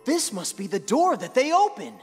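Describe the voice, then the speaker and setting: A man's voice: very high voice, huge pitch swings, normal speed, slightly rough, full character voice. An actor playing a brave, cocky young male hedgehog hero in a video game, casual yet earnest. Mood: confident. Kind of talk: animated video game acting. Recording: very loud music behind.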